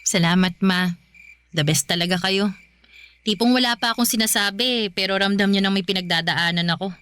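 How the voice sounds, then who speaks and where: A woman playing an earnest, loyal young woman in love in a radio drama, sincere and gently protesting. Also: medium pitch, brisk, smooth, no echo, lightly acted. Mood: sincere, troubled. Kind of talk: Filipino radio drama acting.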